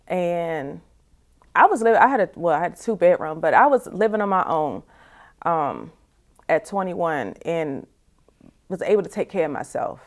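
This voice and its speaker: Woman, forties, slightly low voice, conversational testimony, reflective, candid, peppered with "you know". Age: 40s. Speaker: interview guest.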